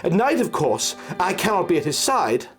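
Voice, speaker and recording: Man putting on a young noblewoman, high and lilting, intense, resolute, faint music behind. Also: big pitch swings, moderate pace. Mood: devoted, anxious. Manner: intense, pleading then commanding. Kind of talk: audio story reading.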